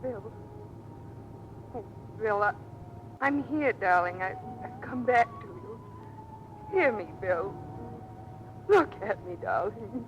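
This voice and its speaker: A woman's voice: on the high side, lively intonation, takes it slowly, pauses often, slight background noise. An actress playing an airman's devoted, tearful sweetheart in an old radio drama, with emotional pleading. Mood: anxious, pleading. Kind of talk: 1940s-50s radio drama acting. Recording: muffled vintage radio recording with music.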